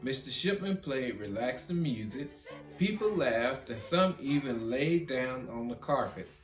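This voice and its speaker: A man's voice: medium pitch, expressive, a little slow, close to an everyday voice. A man voicing a proud, boastful big sister who recounts field day in a storybook. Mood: proud, excited. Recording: roomy echo, guitar music behind.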